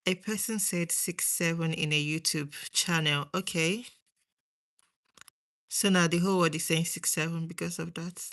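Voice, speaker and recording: A woman's voice: lowish voice, fairly even intonation, moderate pace, fairly bright tone. A young woman with a Nigerian accent chatting casually to viewers on a livestream, rambling and trailing off between thoughts. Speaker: livestream host.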